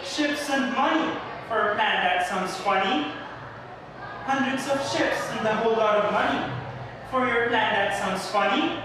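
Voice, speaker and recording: A man's voice: very high voice, measured pace. A male student performer playing proud explorers and kings in a readers' theater recital. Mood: scoffing. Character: proud traveled explorer and scoffing king, male. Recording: echoey hall from far off, noisy.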